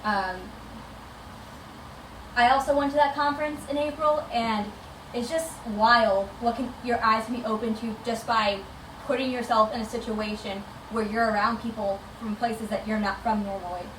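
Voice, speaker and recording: A woman's voice: fairly high pitch, fairly even intonation, normal speed, a bit bright. A woman gives an informal, enthusiastic presentation to a meetup as a chapter director, in a conversational way. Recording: echoey noisy room, distant microphone.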